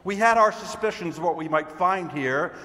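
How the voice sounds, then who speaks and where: A man's voice: high-pitched, lively intonation, normal speed, pushed and bright, pitched above the performer's own voice. A man playing a smug FBI agent in a comic stage mystery, declaiming with self-satisfaction. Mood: gloating. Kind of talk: theatrical stage audition reading. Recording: reverberant hall, faint background noise.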